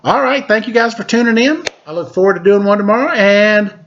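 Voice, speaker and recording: A man hosting a children's story time, reading a picture book aloud in an expressive, dramatic way with casual asides. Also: high voice, fairly quick, muffled recording, slight background noise. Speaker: storyteller and show host.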